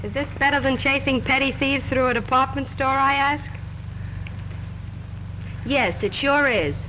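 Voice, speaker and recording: A woman's voice: high-pitched, a little slow, pushed and bright, slight background noise, low-quality recording. An actress playing a playful, chatty young woman sleuth in an old film. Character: playful young woman detective, romantic bride-to-be. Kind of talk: 1930s film dialogue.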